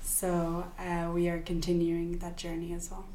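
A woman's voice: fairly low pitch, monotone, measured pace, dark tone, reverberant, slight background noise, rough recording. A young woman from Sweden, a podcast guest, speaking thoughtfully with many fillers and restarts. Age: young adult. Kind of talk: casual interview.

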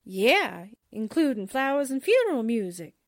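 A woman playing a sarcastic, slangy, excitable female sidekick in a radio drama. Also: a little high, moderate pace, noisy background. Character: wisecracking, jumpy, sarcastic female newspaper sidekick.

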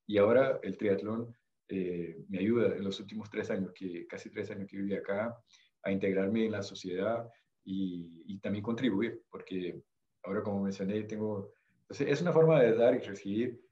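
Low-voiced Brazilian man, halting Spanish. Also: dark tone, highs cut off. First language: Portuguese. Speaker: podcast guest, amateur triathlete. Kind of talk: casual conversational storytelling.

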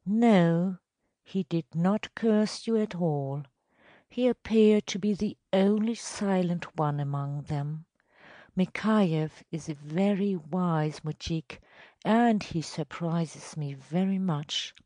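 Woman playing a slow, cautious male village elder. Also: lowish voice, warm tone, no echo. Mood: admiring.

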